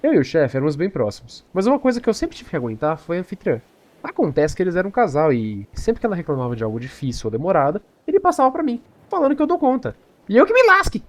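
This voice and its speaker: A woman voicing an overworked, resentful, excitable crew member in an animated film, complaining indignantly. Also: fairly low pitch, fast talker.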